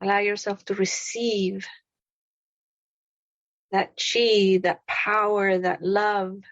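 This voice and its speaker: Slow-paced woman guiding a meditation. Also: medium pitch, flat delivery, no echo, dull audio. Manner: gentle, deliberate short phrases with long pauses. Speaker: meditation guide. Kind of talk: guided meditation, spoken slowly.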